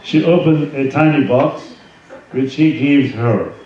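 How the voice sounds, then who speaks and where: Slow-paced man in a very echoey room. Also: mid-range voice, a little mellow. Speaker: swami, yoga teacher. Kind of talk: informal spiritual talk with reading aloud from a book. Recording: roomy echo, distant mic, dull sound, faint noise.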